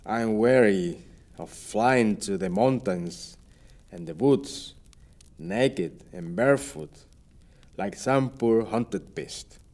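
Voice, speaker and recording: A man playing a proud, weary Spanish sea captain in an audio play, speaking formal verse in a measured, rhetorical way. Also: on the low side, expressive, darkish tone. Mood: weary resolve.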